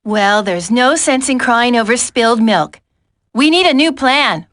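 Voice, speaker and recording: A woman voicing a level-headed, caring commando in a video game, composed and crisp. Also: a little high, lively intonation, a little slow, a bit bright.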